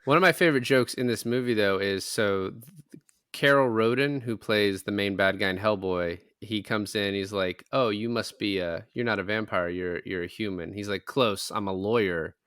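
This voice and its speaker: A man's voice: lowish voice, normal speed, a bit bright, clear, resonant tone, dry recording, clean studio sound. A man in a casual film discussion, retelling things in a rambling way with some swearing.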